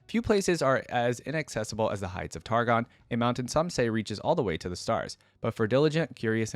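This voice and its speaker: A man doing casual reaction commentary as a video host, reading aloud and thinking out loud in a conversational way. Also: fairly low pitch, no echo.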